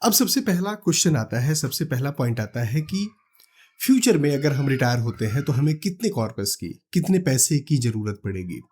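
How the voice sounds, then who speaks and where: A man from India calmly explaining retirement money planning step by step, talking the listener through numbers and examples. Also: mid-range voice, moderate pace, breathy edge. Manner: calm, practical, walks through numbers step by step. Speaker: finance educator. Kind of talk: explanatory talk to camera.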